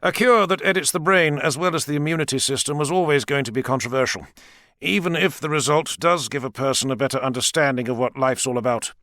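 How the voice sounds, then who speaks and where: A man's voice: medium pitch, quick pace, bright, forceful tone, no echo, clean studio sound, voice pushed up, natural voice. A man voicing an assured male scientist who lectures emphatically, as audiobook dialogue.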